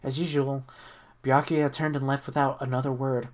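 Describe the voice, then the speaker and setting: A woman reading a visual novel game aloud in an amateur dramatic style, expressive and stumbling over her words. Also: very deep voice, moderate pace. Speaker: reader of the protagonist's lines in a group playthrough. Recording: music playing behind the voice. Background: game music.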